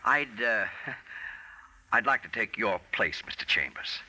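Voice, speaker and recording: An actor playing an evasive, ingratiating small-time schemer with hesitant delivery in 1940s radio style. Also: male voice, on the low side, lively intonation, slow pace, sharp tone, full character voice. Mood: persuasive. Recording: noisy vintage 1940s radio recording.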